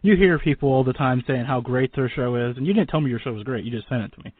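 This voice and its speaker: A man's voice: mid-range voice, quick pace. A man hosting a casual interview, speaking informally and effusively in a rambling way, with narrow phone-like audio. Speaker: radio show host. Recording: narrow phone-like radio sound, dry.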